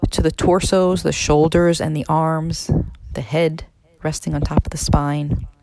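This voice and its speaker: Low-voiced woman guiding a meditation. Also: steady tone, vocal fry, mellow, slight background noise, low-quality recording. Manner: measured, instructional, with pauses. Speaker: neurologist leading a guided meditation. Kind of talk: guided meditation narration.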